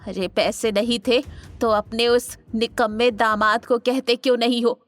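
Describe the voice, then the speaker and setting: A woman's voice: fairly high pitch, fairly even intonation, a little slow, faint music behind, close to an everyday voice. A woman playing an angry, domineering old grandmother in an audio drama, scolding and taunting in an imperious way. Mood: scornful anger. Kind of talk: Hindi audio drama acting.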